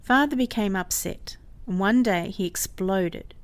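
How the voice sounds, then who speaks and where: Story reader: woman with a mid-range voice, narrating from a script in clear storytelling style. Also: mid-range voice, unhurried.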